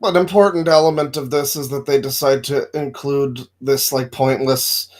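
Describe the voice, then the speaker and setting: Man, average pitch, casual podcast talk, hesitant phrasing, sweary. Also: fairly even intonation, normal speed. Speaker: podcast co-host. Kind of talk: casual film discussion with friends.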